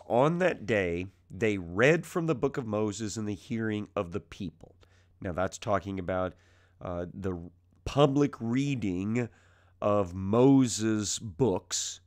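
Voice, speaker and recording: A man with a strained, raspy voice while ill, teaching the Bible verse by verse from his basement, calm and conversational with frequent fillers. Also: lowish voice. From United States. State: ill with mild symptoms. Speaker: preaching minister. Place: home basement.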